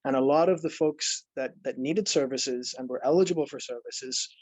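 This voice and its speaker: A man's voice: medium pitch, moderate pace, darkish tone, no room sound, poor audio. A man from Ireland, presenting as a nonprofit program director in a webinar, earnest and explanatory, with frequent fillers and restarts.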